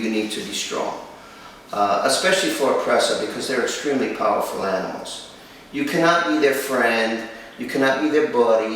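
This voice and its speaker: A man presenting and interviewing for a dog training video as host, with a firm, instructive manner and short, paused phrases. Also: mid-range voice, fairly bright tone. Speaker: kennel owner and host. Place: room in a home. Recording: echoey room, distant mic, faint noise.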